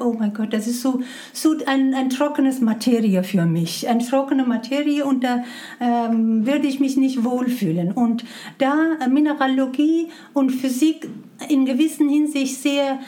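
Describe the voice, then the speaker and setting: A woman's voice: high-pitched, warm tone. A middle-aged woman from Iran with a Persian accent, speaking non-native German hesitantly with many fillers as an interview guest. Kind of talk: conversational interview.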